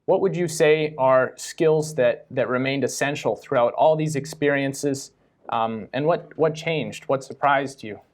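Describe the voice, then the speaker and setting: A man's voice: mid-range voice, a little slow. A young man in his student years moderating a talk. He lays out the plan politely and in order, then puts questions to the guest in a mid-range voice. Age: young adult.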